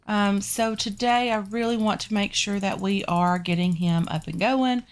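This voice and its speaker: A woman's voice: mid-range voice, fairly even intonation, normal speed, clear, resonant tone. A woman with a Southern US accent talks her viewers through a survival game in a loose, chatty way, sprinkling in ums and calling them guys. Her voice sounds stuffy and congested from a sinus infection. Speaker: gaming channel host. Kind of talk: casual game commentary.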